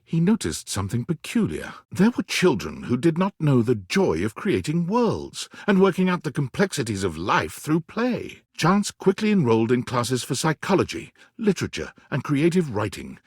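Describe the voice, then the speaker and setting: A man's voice: fairly high pitch, wildly swooping pitch, normal speed, hardly any pauses, husky, no echo, studio quality, exaggerated voice. A man playing a dramatic storyteller, narrating a video game in a theatrical, scripted second-person style. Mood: dramatic, storytelling.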